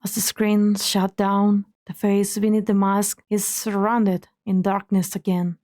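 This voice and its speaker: A woman reading scripted dramatic story narration in a measured, solemn way. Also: medium pitch, flat delivery, dark tone.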